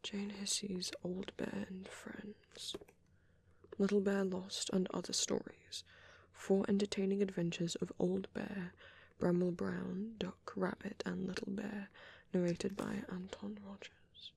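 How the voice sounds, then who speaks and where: A performer voicing a shy, gentle young vampire boyfriend in an ASMR roleplay, with a soft, hesitant, often whispered delivery. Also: mid-range voice, flat delivery, gravelly, a little hiss or hum. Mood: softly reading aloud. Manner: hesitant, halting, murmured musing.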